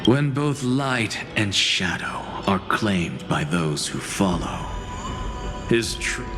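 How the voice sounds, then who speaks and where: Solemn deep-voiced game figure, very slow and hushed, music behind. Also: male voice, big pitch swings. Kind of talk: game cutscene voice acting.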